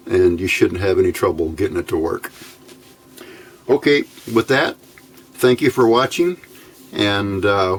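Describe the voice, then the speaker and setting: American guy with a lowish voice doing an off the cuff electronics how-to, kinda hesitant and plainspoken. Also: vocal fry. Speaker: electronics hobbyist host. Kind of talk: informal technical tutorial narration.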